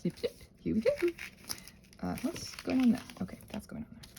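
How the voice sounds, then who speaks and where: A woman talks through a hands-on craft in a loose, rambling way, often breaking off to think aloud. Her voice swings widely in pitch and crackles with fry. There is a faint layer of background noise behind her. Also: wildly swooping pitch, moderate pace, mellow. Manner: chatty, self-interrupting, thinks aloud, playfully self-soothing. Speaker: craft and ASMR channel creator, host. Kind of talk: rambling casual craft tutorial.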